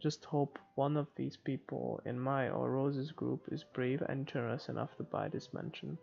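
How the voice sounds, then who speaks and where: A man narrates a visual novel for a game playthrough. His voice is creaky and his read-aloud delivery is informal and a little halting. The sound is slightly muffled, with music underneath. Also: mid-range voice, fairly even intonation, a little mellow. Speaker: video game let's player.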